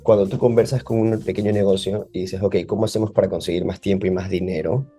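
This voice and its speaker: A man with a low, dark, mellow voice and an Ecuadorian Spanish accent. He explains things at length and fills the gaps with many hesitations. Faint music plays behind him. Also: fairly even intonation, fast talker, husky. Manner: explanatory, uses many fillers. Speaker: guest. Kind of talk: podcast interview.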